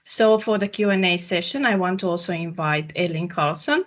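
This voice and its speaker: A woman's voice: on the low side, moderate pace. A woman hosting an online information session in a polite, formal manner, speaking over a video call. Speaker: webinar host. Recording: dull, muffled online call audio.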